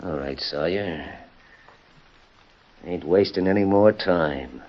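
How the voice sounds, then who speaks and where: A menacing, low-voiced western outlaw. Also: male voice, slow pace. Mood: cold threat. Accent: American. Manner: gruff, threatening, folksy frontier slang. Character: menacing, vengeful male Old West outlaw. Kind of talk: old-time radio western acting. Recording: dull, lo-fi vintage radio recording.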